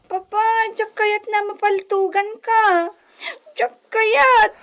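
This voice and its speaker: Tiny, squeaky, pleading Filipino child. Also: female voice, fairly even intonation, fairly bright tone, heavily acted. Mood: pleading, whiny. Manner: whining, pleading, drawn-out. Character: whiny, affectionate little child pleading with Papa. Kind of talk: Filipino radio comedy-drama acting.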